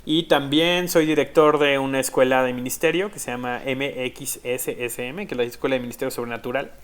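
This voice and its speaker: A man's voice: mid-range voice, a little fast, clear, resonant tone. Chatty Mexican man, lots of "este". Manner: lively, laughing, many "este" fillers. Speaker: podcast co-host and pastor. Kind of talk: casual interview talk.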